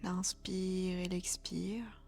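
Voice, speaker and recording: A woman leads a guided meditation in a soft, soothing voice. Her pitch stays very flat and her pace is very slow, with some phrases breathed almost as a whisper. Faint music plays behind her. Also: creaky. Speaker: yoga nidra instructor. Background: background music.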